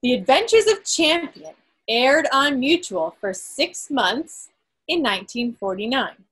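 A woman with a fairly high, slightly husky voice reads out quiz results as host. She speaks in a measured, emphatic way, recorded over a group video call. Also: very animated, a bit bright, low-quality recording. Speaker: quiz host at a club meeting.

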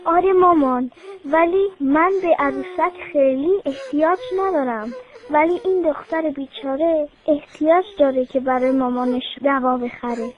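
A woman's voice: high-pitched, lightly acted. A woman voicing a sweet, kind little girl who pleads and speaks earnestly. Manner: eager, pleading child voice. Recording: tinny phone-like sound.

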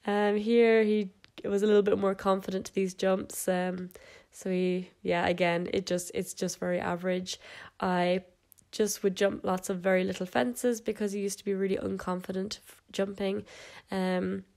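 A woman's voice: medium pitch, flat delivery, moderate pace, a little mellow. Nasal young woman with a cold. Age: young adult. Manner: casual, chatty, with fillers and little laughs. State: congested with a cold. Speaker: equestrian vlogger. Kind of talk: voice-over narration of horse videos.

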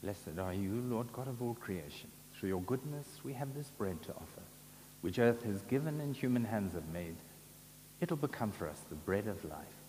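A man in his seventies, a priest saying Mass prayers in a measured, solemn way. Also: on the low side, expressive, warm tone. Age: 70s. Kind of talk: liturgical prayer. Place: large brick chapel, at altar and lectern. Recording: echoey chapel with long reverb, background noise.

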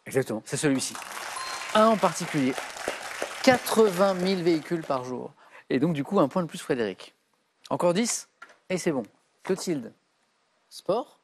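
A middle-aged man hosting a TV quiz show, reading clues briskly and giving quick verdicts. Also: a little high, lively intonation, some chatter behind, slight background noise. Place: large TV studio set.

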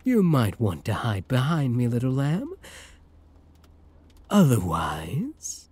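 A hulking male werewolf, played by a man, purrs possessively in an intimate audio roleplay. His voice is extremely husky, with swooping pitch and an unhurried drawl. He teases like a predator toying with prey. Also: wildly swooping pitch, a little mellow, exaggerated voice. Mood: teasing, possessive. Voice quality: husky, breathy. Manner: drawling, teasing pet names, predatory purr. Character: possessive, growling male werewolf prisoner, huge and teasing.

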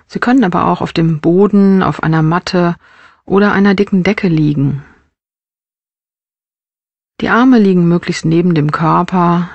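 A woman narrating a guided body scan meditation as a mindfulness teacher, with measured, gentle instructional phrasing. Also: fairly low pitch, no echo.

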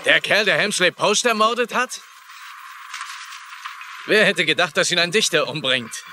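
Guarded young man, lively pitch. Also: unhurried, a little hiss or hum, heavily acted. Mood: guarded, curt. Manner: dry, evasive. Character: guarded, curt young male aspiring writer. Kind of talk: dubbed TV crime drama acting.

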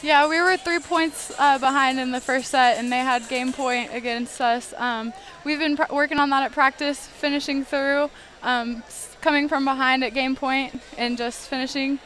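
A woman's voice: sits high, fairly even intonation, moderate pace, few pauses, fairly bright tone. A young woman college volleyball player answering interview questions eagerly, with many fillers. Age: young adult. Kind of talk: postgame interview. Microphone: headset mic.

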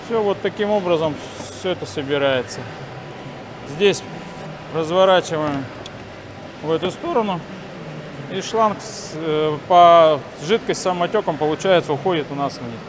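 High-pitched man instructing over workshop noise. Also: normal speed, pauses often. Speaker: instructor demonstrating sawmill assembly. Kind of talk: hands-on instructional demonstration. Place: large factory workshop hall, indoors. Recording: noisy industrial workshop ambience. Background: clear workshop noise.

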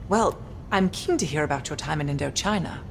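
A woman playing a measured, analytical intelligence agent in a video game, with a British accent and formal, precise speech. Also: sits low, animated. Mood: composed. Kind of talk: video game cutscene acting. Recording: clear background noise, room ambience.

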